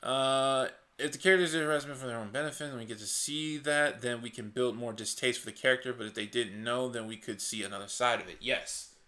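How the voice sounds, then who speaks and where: A man in a middle register talks through his opinions as his channel's host. He gets passionate and restarts often, keeping a naturally loud voice deliberately low. The room around him adds a noticeable echo. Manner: passionate, reasons aloud with many restarts. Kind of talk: casual commentary chat. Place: hot indoor room.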